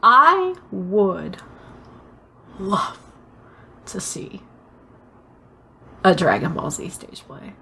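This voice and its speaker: A woman's voice: medium pitch, huge pitch swings, drawn-out words, gravelly, pauses often, pushed and bright, breathy edge. A woman co-hosting a casual pop culture podcast, talking emphatically and gushingly with plenty of swearing. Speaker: podcast co-host.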